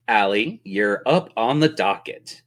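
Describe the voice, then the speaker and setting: A man's voice: lowish voice, animated, takes it slowly, a bit bright. A man running a tabletop role-playing game as game master, narrating with playful, theatrical turns of phrase.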